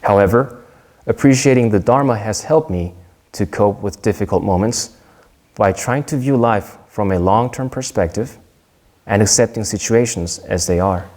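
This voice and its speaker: A young man from Korea giving a prepared Dharma talk in a measured, earnest way, with a few small restarts. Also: lowish voice, a little mellow.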